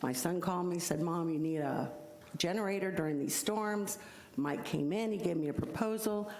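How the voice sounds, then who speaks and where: Middle-aged woman, low and loud, pleading her case at a hearing; roomy hall sound. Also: normal speed, a little hiss or hum. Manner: earnest, explanatory, self-described loud voice. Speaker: insurance agent addressing a code hearing. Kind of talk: public hearing testimony. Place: city hall hearing room. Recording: echoey hall from council mic.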